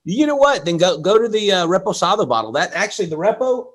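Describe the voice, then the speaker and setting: A middle-aged man hosting a comedy livestream, chatting in a rambling, excitable way with lots of stammered restarts. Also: high-pitched, fast. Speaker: comedy show host and tequila promoter. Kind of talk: casual banter on a livestream.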